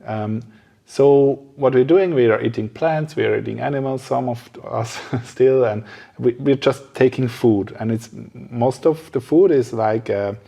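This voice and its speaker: Guy hosting a casual podcast, soft mellow voice, kinda hesitant, searching for words as he goes. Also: mid-range voice, measured pace, creaky. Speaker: podcast host. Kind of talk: casual conversational interview.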